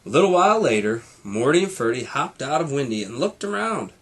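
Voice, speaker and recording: A man reading a children's storybook aloud in an animated way, like a teacher to young students. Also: mid-range voice, a little fast, husky.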